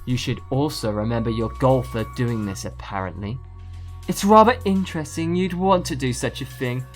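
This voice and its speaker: Woman as a low-voiced smug conspirator. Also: moderate pace, faint music behind. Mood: cool curiosity. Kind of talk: audio drama acting.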